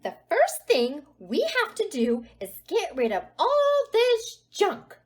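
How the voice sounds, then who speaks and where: A woman's voice: high-pitched, sing-song, measured pace, voice pushed up. A woman voicing a bossy, fed-up mother bear in a storybook, speaking with firm emphasis.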